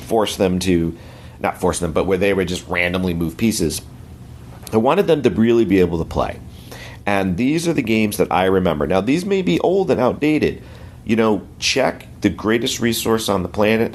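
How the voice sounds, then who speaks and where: A hoarse middle-aged man from the United States, rambling in a friendly way as a podcast regular and sharing tips. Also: sits low, a little hiss or hum. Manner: rambling, friendly, self-correcting. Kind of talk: casual podcast segment.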